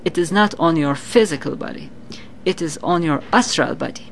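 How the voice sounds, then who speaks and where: A woman's voice: sits low, moderate pace, vocal fry, slight background noise. A middle-aged woman with a Turkish accent, a Turkish native speaker, answering interview questions as a psychic in an earnest, explanatory manner and repeating words for emphasis. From Turkey. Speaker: psychic guest.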